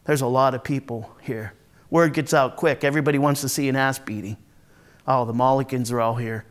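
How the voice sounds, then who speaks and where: A man playing a volatile, hard-drinking, foul-mouthed Marine from a rough neighborhood, telling his story in a raw, halting dramatic monologue. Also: mid-range voice, fairly even intonation, natural voice. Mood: tense, brooding. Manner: raw, profane, halting then bursting into anger. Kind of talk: first-person dramatic monologue, conversational storytelling.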